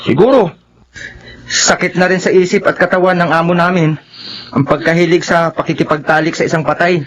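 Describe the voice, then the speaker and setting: Radio horror: male actor as respectful Filipino worker, fairly high voice, halting and grim, explaining dark secrets. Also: fairly even intonation, natural voice, rough recording. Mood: grave, confiding. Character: deferential male employee grimly confessing his boss's crimes.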